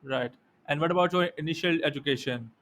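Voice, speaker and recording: A man who speaks English as a second language, hosting an interview courteously in a halting way with repeated words. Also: on the high side, fairly bright tone. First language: not English. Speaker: podcast host, interviewer. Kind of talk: formal conversational interview. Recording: faint background noise.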